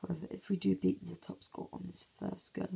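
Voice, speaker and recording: A man with a hoarse, croaky voice, half-whispering casual commentary over a mobile game at home early in the morning, recorded on a phone. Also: sits high, level tone, brisk, warm tone. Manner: half-whispering to avoid waking sleepers. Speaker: gameplay commentator.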